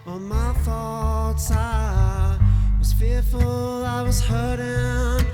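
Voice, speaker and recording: A woman sings folk Americana in a fairly high voice. She draws the words out very slowly, with prominent plucked acoustic guitar alongside. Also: monotone. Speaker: folk singer.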